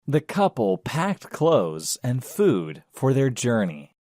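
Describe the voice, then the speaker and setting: A man narrates a children's storybook at a slow, measured pace. His pitch swings widely as he tells the tale, and the recording is dry with no room around it. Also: huge pitch swings, a little mellow, dry recording.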